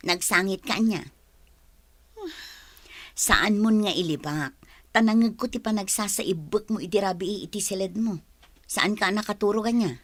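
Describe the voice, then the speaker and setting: A woman's voice: mid-range voice, normal speed, long pauses, dry recording, close to an everyday voice. A woman playing a caring, probing older sister in an Ilocano radio drama, with a Filipino accent. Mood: suspicious, concerned.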